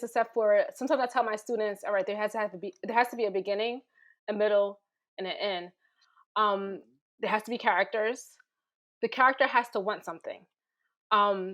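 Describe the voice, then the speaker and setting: Female panelist, slightly high pitch, thoughtful and informal, feeling her way through each sentence. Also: a bit bright. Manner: tentative, searching, halting phrasing. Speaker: teacher and storyteller panelist. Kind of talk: thoughtful casual panel talk.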